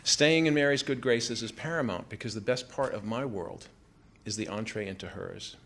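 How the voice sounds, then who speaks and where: A man in his fifties with an American accent reads a scripted first-person story live in a theater, in a measured storytelling way. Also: mid-range voice, fairly bright tone. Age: 50s. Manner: measured storytelling delivery, acting out quoted family dialogue. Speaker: writer reading his own personal essay. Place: small theater stage before a live audience. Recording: live theater recording with background noise. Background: room noise and ambience.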